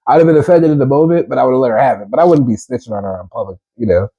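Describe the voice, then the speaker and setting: A man co-hosting a comedy podcast, joking crudely and laughing loudly as he riffs. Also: medium pitch, very fast, slightly rough, dry recording, dull audio. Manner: loud laughing, crude joking, acts out quotes in put-on voices. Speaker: podcast co-host. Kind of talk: casual comedic podcast banter.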